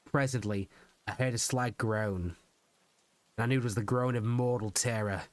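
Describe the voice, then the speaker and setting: A man voicing an unhinged, proud murderer in a Gothic horror monologue, speaking in a theatrical, deliberate way with emphatic pauses. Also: mid-range voice, steady tone, no echo, lightly acted. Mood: tense, sly. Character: unhinged murderer narrator, proud, insisting he is sane. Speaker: VTuber practicing voice acting.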